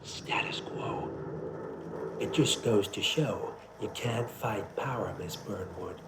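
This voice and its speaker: An actor playing a scheming, menacing older power broker in a video game, speaking in a measured, silky, condescending way. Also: male voice, on the low side, whispered. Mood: quietly menacing. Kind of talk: cinematic video game villain acting.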